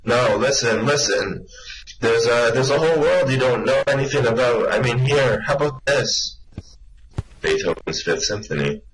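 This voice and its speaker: A man playing a quick-thinking, sardonic space traveller in a comic sci-fi radio play, persuasive and improvising as he goes. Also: mid-range voice, lively intonation, crowd noise, close to an everyday voice, poor audio. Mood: coaxing. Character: quick-thinking, wry male space traveller, coaxing and unflappable.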